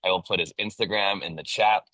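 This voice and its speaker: Upbeat male host, deep bright voice. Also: fairly even intonation, brisk, no echo. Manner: upbeat and promotional, slangy. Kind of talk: casual online interview.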